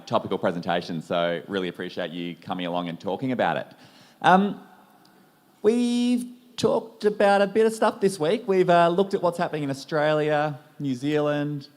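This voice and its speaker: A man at an ordinary middle pitch hosts a conference session. He speaks casually and in a friendly way, thanking one speaker and bringing on the next. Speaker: session host. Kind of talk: introducing speakers.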